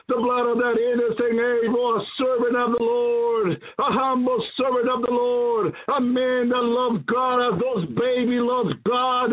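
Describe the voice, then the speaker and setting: Male preacher, very high pitch, fervent repetitive exhortation, tinny phone-like radio audio. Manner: emphatic, repetitive, exclaiming preacher's delivery. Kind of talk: fervent apocalyptic sermon. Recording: thin phone-like radio sound.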